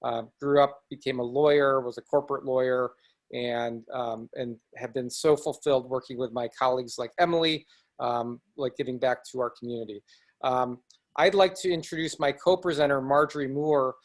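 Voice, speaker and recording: A middle-aged man from the Chicago area introduces a webinar over a video call. His voice sits in an ordinary mid register, and he talks earnestly, stopping and restarting often as he gathers his thoughts. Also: medium pitch. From Chicago area, United States. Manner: earnest, halting, with frequent restarts. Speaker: nonprofit executive director, webinar host. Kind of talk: presenting in a webinar.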